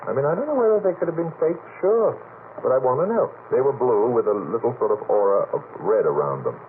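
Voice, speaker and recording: A man speaking as a guest in a radio panel debate, emphatic and a little halting, with old-fashioned turns of phrase. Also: mid-range voice, lively intonation. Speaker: guest researcher of unexplained sky sightings. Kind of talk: animated late-night radio panel debate. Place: radio studio. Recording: noisy, phone-like old 1950s radio recording.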